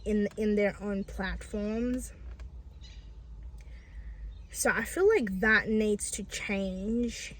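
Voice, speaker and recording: Woman vlogger who stutters, talking slowly and sincerely to camera. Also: medium pitch, slight background noise, rough recording. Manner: stutters, with repeated sounds and restarts; earnest and reflective, many fillers. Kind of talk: personal vlog monologue.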